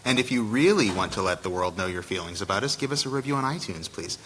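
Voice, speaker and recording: A man's voice: lowish voice, expressive, quick pace, a bit bright, a little hiss or hum. A man co-hosting a live podcast recording, interviewing a guest and giving friendly, easygoing closing announcements. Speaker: podcast co-host. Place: conference room before a live audience.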